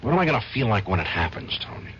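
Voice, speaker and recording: An actor playing a troubled, guilt-ridden lawyer who drinks, in an old radio drama. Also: male voice, mid-range voice, big pitch swings, very fast, a bit bright, slight background noise. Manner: anxious, pleading. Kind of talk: 1970s radio drama acting. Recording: dull old radio broadcast.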